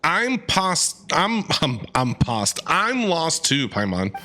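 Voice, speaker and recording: Male streamer for casual game reactions: forward, bright tone, very lively pitch, exclaims and repeats himself. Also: slow. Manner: excitable, repeats words, exclaims.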